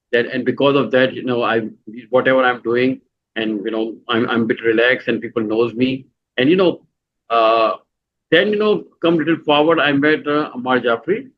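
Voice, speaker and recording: A middle-aged man with a Pakistani accent, answering interview questions in a halting way, full of "you know" fillers and restarts. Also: mid-range voice, lo-fi sound. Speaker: guest.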